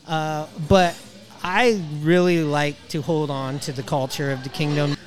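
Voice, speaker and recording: A man's voice: on the high side, steady tone, unhurried, poor audio. A man hosting a podcast, chatting casually and enthusiastically like a fan, in a rambling way as he interviews.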